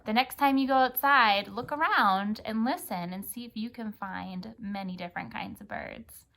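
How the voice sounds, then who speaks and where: An American woman reading a picture book aloud for children and chatting gently, often prompting a young child with questions. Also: medium pitch, moderate pace, creaky. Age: adult. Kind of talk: children's story read-aloud with gentle chat.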